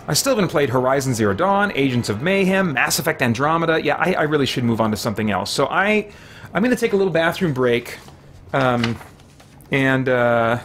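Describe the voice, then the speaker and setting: A man's voice: medium pitch, fairly bright tone. A middle-aged man chatting casually and thinking aloud as he livestreams video games from a small room at home. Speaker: video game streamer. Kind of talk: casual unscripted livestream chat.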